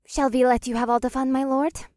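A man's voice: extremely high pitch, little pitch movement, brisk, darkish tone, dry recording, clean studio sound, voice pushed up. A man voicing a playful, devoted female witch in an audiobook, with a purring, teasing manner. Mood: playful, eager. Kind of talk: audiobook character voice.

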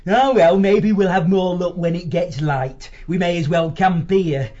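High-voiced grumbling animal foot soldier. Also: male voice, a little slow, mellow, dull audio. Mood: resigned. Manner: grumbling, chatty. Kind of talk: dramatised audiobook storytelling.

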